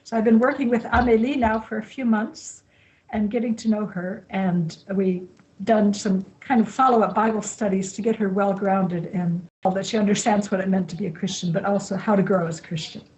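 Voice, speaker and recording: A woman in her sixties, raised in Brazil, talks about her work as a missionary teacher. Her voice sits at a middle pitch and she speaks calmly and evenly. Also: a little fast, low-quality recording. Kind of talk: interview answers.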